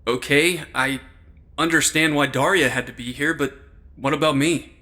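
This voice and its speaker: An actor playing a wry, loyal investigator in an audio drama, casual in delivery with a dry way of talking. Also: male voice, fairly high pitch, fairly even intonation, moderate pace, bright, forceful tone. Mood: puzzled. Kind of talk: supernatural comedy audio drama acting.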